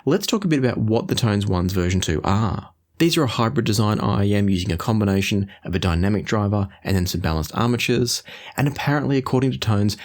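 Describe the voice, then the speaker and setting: A man presenting an explanatory product review as the host of an audio channel. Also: lowish voice.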